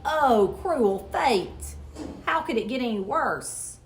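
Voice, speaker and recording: A woman's voice: fairly high pitch, animated, takes it slowly, a little hiss or hum, pitched above the performer's own voice. A woman voicing a mischievous young boy with big schemes in an emphatic, theatrical storybook manner. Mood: dramatic.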